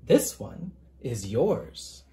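Reader as a mellow, reassuring storybook mother. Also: medium pitch, sing-song, drawn-out words, slight background noise, voice pushed down. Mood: playful. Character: loving, reassuring mother explaining to her child.

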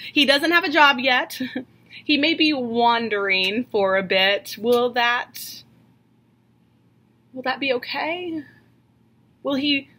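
A woman in her thirties preaching a sermon from a home office into a webcam, earnest and questioning, slowing down to stress key lines. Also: sits high, bright, forceful tone. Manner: earnest, questioning, slows for emphasis. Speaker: pastor. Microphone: webcam at desk.

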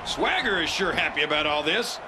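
An actor playing a dramatic, excitable colour commentator in a wrestling video game. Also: male voice, fairly high pitch, a little fast, noisy background, close to an everyday voice. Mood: excited. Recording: loud crowd and music behind.

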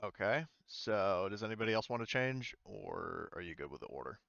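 A man running an online tabletop game as game master, casual and halting as he thinks aloud. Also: lowish voice, animated, gravelly, fairly bright tone, no room sound, dull audio. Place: indoors, online voice call.

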